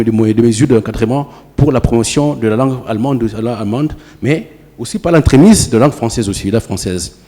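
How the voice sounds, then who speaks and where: A man's voice: mid-range voice, warm tone. A man, asking a long, wordy question from the audience. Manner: rapid, wordy and repetitive. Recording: echoey hall.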